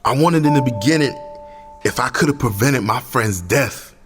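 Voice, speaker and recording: An actor playing an intense, embittered young community activist in an audio drama, impassioned and slangy. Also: male voice, mid-range voice, lively intonation, moderate pace, long reverb tail, faint music behind, natural voice. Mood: grieving, earnest.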